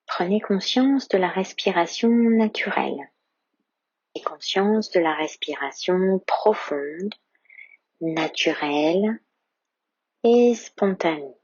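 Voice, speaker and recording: A woman guides a yoga nidra session in a slow, hushed, breathy voice, drifting into whispers. Her tone sits a little low, and the close, dry recording sounds somewhat dull. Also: no room sound. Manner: slow, soft-spoken guidance with whispered phrases. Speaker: yoga teacher.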